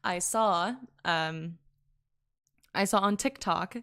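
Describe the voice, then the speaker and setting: A woman's voice: on the low side, slow pace, a bit bright. A woman streaming casually to her chat, giggling often and correcting herself mid-sentence. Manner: giggly, chatty, laughs often between sentences. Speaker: VTuber streamer.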